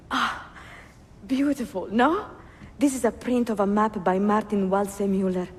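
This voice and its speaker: An actress playing a passionate, curious Italian bookseller in a game, eager and articulate, with an Italian accent. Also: female voice, mid-range voice, a little mellow, husky, in a large hall. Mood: cheerful, excited. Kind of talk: video game cutscene acting.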